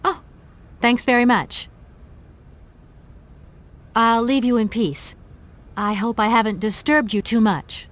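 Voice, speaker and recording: An actress playing a polite, persistent young traveller in a video game, courteous and well-spoken. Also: female voice, a little high, slow pace, long pauses. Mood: courteous, inquisitive.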